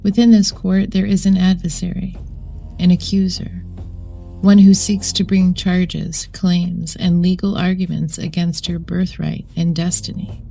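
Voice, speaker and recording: A woman reading a scripted guided meditation in a solemn, measured way, with deliberate phrasing. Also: fairly low pitch, little pitch movement, creaky, dark tone, rough recording. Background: background music.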